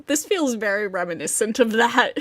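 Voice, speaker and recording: A woman streaming a game with casual, playful, giggly commentary that gets halting when she explains. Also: on the high side, lively intonation, no echo.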